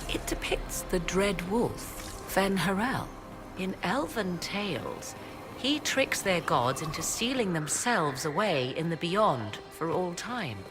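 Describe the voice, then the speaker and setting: An actress playing a proud, sardonic woman mage in a fantasy game, speaking formal archaic English with a haughty air. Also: lowish voice.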